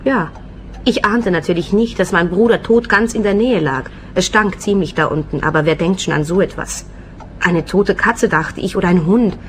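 A woman's voice: on the low side, moderate pace, a little hiss or hum, lightly acted. An actress playing a brazen, mercenary call girl in a radio play, cool, cheeky and matter-of-fact.

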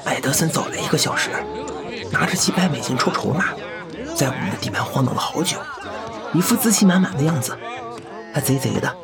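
A man playing an excitable, loyal gangster underling in an audio drama, chatty, sneering and indignant. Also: mid-range voice, close to an everyday voice. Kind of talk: Mandarin audio drama acting.

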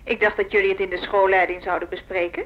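A woman's voice: mid-range voice, level tone, fast, natural voice. An actress playing a confrontational, insistent woman music teacher who leads a faction, in a Dutch radio drama. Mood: reproachful. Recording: dull, lo-fi telephone scene recording.

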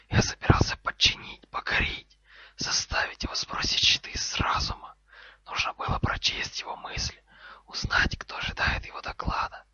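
A haughty, furious snake speaks in a sharp, hissing whisper. It is scornful and commanding, with no real voiced tone. The storybook recording is close and dry. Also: male voice, dry recording, dull audio. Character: angry, haughty male snake, hissing orders and insults.

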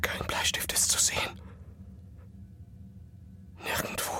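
An actor playing an introspective, unsettled physicist, narrating his own thoughts in a brooding, deliberate way for a radio drama. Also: pushed and bright, whispered. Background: music.

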